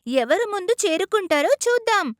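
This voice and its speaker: A woman voicing a calm, slow, determined snail in a children's story, in a measured manner. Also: extremely high pitch, dry recording, clean studio sound, pitched above the performer's own voice. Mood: agreeable. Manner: measured, unflustered.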